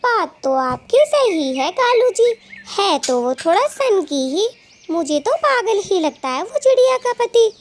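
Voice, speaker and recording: A woman's voice: extremely high pitch, sing-song, measured pace, a little hiss or hum, full character voice. A woman voicing a gossipy, scheming female bird thief who dotes on her husband, in a dramatic, exclamatory children's cartoon manner. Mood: spiteful, scolding. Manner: dramatic, exclamatory, scolding and fawning. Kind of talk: Hindi children's cartoon dialogue.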